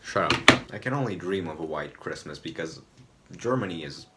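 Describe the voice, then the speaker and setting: A man's voice: deep voice, expressive, moderate pace, heavy background noise. A man from Romania, giving loose, rambling commentary on a TV episode from his home and swearing freely. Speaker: video essayist. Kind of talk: casual review commentary. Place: home room, indoors.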